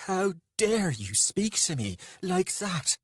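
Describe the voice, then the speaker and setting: Man voicing a furious, high-pitched scorned woman. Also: animated, unhurried, breathy edge, no echo, clean studio sound. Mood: trembling rage. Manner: indignant, seething, emphatic. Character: angry, wounded woman lover, fierce and indignant. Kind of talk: dramatised audiobook dialogue.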